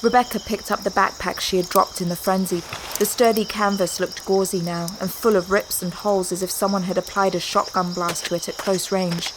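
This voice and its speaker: A woman narrating a scripted audio drama in a measured storytelling manner. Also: medium pitch, fairly even intonation, background noise.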